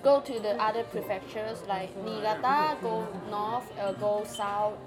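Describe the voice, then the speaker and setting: A woman with a Malaysian Chinese accent, her first language Chinese, speaking halting English in a casual interview and searching for words. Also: medium pitch. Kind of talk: casual conversation. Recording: loud music behind the talk.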